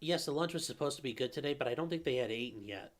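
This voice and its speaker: A man with a middle-pitched, slightly nasal yet smooth voice talks through viewer questions on a live stream. He speaks casually, with plenty of fillers and restarts. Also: expressive, quick pace. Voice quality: calls his own voice nasally; a viewer calls it velvety. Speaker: lawyer host answering live chat.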